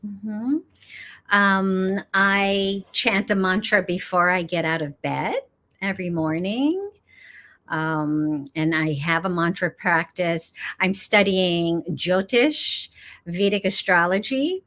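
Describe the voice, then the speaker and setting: A middle-aged woman from Los Angeles, a yoga teacher speaking as an interview guest in an unhurried way, laughing often and pausing with frequent "um"s. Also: on the low side, fairly bright tone. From Los Angeles, United States. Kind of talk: casual interview chat.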